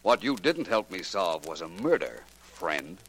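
An actor playing a suave, self-assured private investigator in a 1940s radio drama, polite, dry and unruffled. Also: male voice, low voice, very animated, unhurried, over loud music, full character voice, rough recording. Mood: patient, sardonic.

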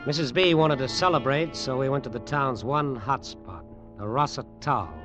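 An actor playing a tough, cynical private detective, wry and clipped, in an old radio drama. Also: male voice, mid-range voice, normal speed, lightly acted. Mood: cynical narrating. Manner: wry, clipped, hard-boiled. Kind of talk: 1940s-50s radio acting, first-person narration and dialogue. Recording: vintage radio drama with music.

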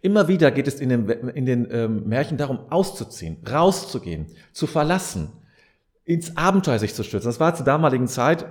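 A man's voice: mid-range voice, very animated, a little fast. A man giving a reflective advice monologue as a podcast host, speaking straight to the listener in an earnest, preacher-like way and repeating key phrases for emphasis.

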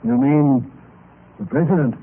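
A man playing an earnest gentleman companion in an old radio mystery, speaking emphatically and theatrically. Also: mid-range voice, a little slow, close to an everyday voice. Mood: urgent, grim. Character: earnest, dramatic gentleman friend of the narrator. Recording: muffled, noisy old radio recording.

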